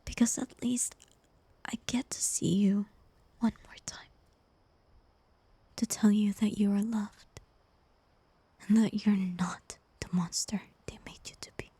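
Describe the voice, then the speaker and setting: A woman playing a tender, heartbroken bard facing a former lover in an intimate fantasy ASMR roleplay, speaking softly and wistfully in a breathy near-whisper. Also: mid-range voice, steady tone, no room sound, exaggerated voice. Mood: loving, resigned. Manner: slow, gentle, wistful delivery with pauses.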